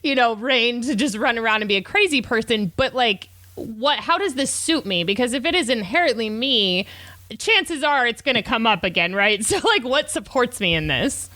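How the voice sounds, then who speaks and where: A woman hosting a conversational podcast in a candid, self-reflective way, with a loud, carrying voice. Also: a little high, animated, normal speed, no room sound. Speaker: podcast host. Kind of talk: conversational interview talk.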